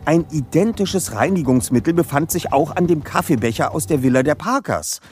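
A man's voice: fairly high pitch, expressive, fairly quick, darkish tone, breathy edge, faint music behind. A man playing a self-assured, bookish teenage detective leader in a German audio drama, speaking precisely and formally. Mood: matter-of-fact. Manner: precise, bookish, matter-of-fact.

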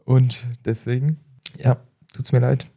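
A man's voice: mid-range voice, little pitch movement, a little slow, gravelly, warm tone. Easygoing male co-host from Saarland, mid voice, laughs often, hesitant. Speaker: podcast co-host, former footballer. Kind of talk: casual chat.

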